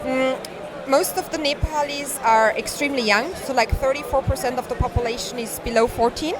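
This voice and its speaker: A woman's voice: high voice, fairly quick, music in the background. A woman from Austria with an Austrian accent, giving explanatory interview answers as a startup representative at a busy conference. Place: busy tech conference venue. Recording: event hall with clear crowd chatter.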